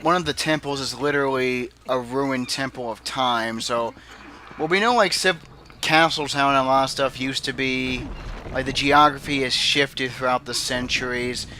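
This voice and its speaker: A young man rambles through casual game commentary, circling back with fillers and self-corrections. His tone is bright and forward at an ordinary pitch. He says his voice is naturally low. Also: steady tone, normal speed, a little hiss or hum. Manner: rambling, many restarts and fillers. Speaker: let's play commentator. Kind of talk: casual gaming chat.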